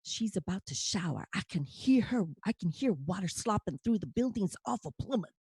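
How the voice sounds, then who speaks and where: A woman's voice: medium pitch, lively intonation, normal speed, a little mellow, breathy edge, no echo. A woman playing a sarcastic young housemate with a casual, wry manner in a comedic audio play.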